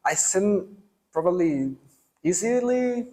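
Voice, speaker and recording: A man's voice: high voice, animated, slow, warm tone. A young man from El Salvador, a student, telling his story as an interview guest in a loose, rambling way with many fillers. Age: young adult. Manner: rambling, lots of fillers and restarts. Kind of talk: casual conversational interview.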